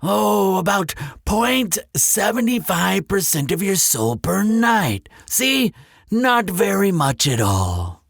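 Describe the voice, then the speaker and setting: Man playing a slow, silky, slyly courteous demon concierge. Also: high voice, sing-song, creaky, fairly bright tone, no echo, clean studio sound, exaggerated voice. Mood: coaxing, reassuring. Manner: unhurried, polite, coaxing salesmanship. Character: smooth-talking male demon hotel receptionist, courteous and slyly persuasive. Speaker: resort front-desk clerk. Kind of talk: scripted audio roleplay addressed to the listener.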